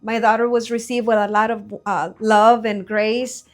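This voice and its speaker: A woman in her forties from Mexico, a business owner answering interview questions in an earnest, measured way with frequent fillers, recorded in a studio. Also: fairly high pitch, little pitch movement.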